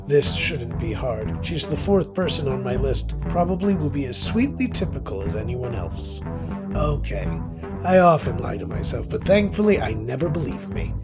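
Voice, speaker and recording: Casting: male actor as cynical, weary witch narrator for horror audio thriller; wry, theatrical, medium pitch, over a music bed. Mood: wry, tense. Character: weary, cynical male witch hunting through a haunted house. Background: music behind the voice.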